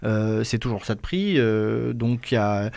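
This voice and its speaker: A man's voice: on the low side, lively intonation, measured pace, vocal fry, darkish tone, no echo. A man on a sports panel, giving blunt opinions. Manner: blunt, opinionated, asks pointed questions. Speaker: show columnist.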